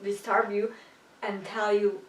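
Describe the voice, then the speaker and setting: Polish accent woman chatting casually, kinda halting and agreeable, bit far from the mic, roomy echo. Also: mid-range voice, flat delivery. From Poland. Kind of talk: casual conversational chat.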